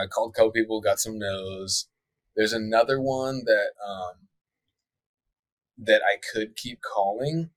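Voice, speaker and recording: A young man with a lowish voice talks through his plans in a relaxed, rambling podcast chat. He fills his sentences with likes and ums and keeps an easy, upbeat tone. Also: dry recording, poor audio. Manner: laid-back, many likes and ums, faith talk.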